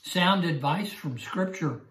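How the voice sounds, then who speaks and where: An older man teaching a Bible study class, slow and emphatic, repeating his key phrases. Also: on the high side, lively intonation, a bit bright, reverberant, low-quality recording. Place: small room indoors. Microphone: lapel mic.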